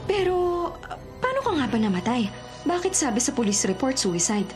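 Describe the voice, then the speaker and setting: A woman's voice: sits high, very animated, normal speed, music in the background. An actress playing a streetwise female con artist, earnest and wry, in a Filipino radio drama. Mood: earnest, anxious.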